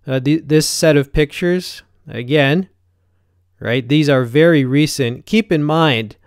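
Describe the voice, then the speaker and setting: Blunt forty-something man, smooth dry voice. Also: on the high side, slow, dry recording. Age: 40s. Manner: blunt, conversational, frequent "right?" check-ins. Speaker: fitness YouTuber. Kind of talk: casual talk to camera. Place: home gym, indoors.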